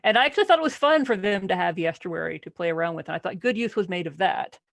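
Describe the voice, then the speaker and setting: A podcast panelist talking casually through a book, questioning it with animated incredulity and frequent restarts. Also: medium pitch, fast, creaky, dry recording, clean studio sound.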